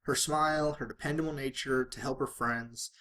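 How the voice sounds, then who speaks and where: A man's voice: mid-range voice, steady tone, moderate pace, bright, forceful tone. A man reading fan fiction aloud as a dramatic narrator, sometimes stumbling and rereading phrases.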